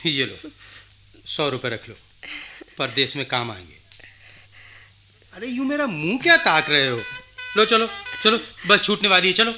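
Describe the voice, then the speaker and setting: A man's voice: mid-range voice, bright, forceful tone, slight background noise, heavily acted. An actor playing a big-hearted, firm, fatherly village man in a theatrical radio play. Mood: kindly, assured.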